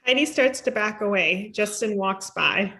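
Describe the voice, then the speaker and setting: A woman serves as the narrator of a screenplay read. She delivers stage directions in scripted narration, with a medium-pitched voice. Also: moderate pace, fairly bright tone.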